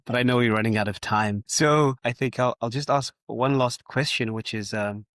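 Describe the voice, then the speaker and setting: A man's voice: medium pitch, moderate pace, a bit bright, dry recording, studio quality. A man hosting a podcast interview, speaking conversationally in a respectful, deferential way.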